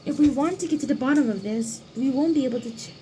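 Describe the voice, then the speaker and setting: A woman's voice: high voice, fast talker, background noise. A woman streaming gameplay, reading dialogue aloud and reacting as she goes. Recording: game music and sounds behind.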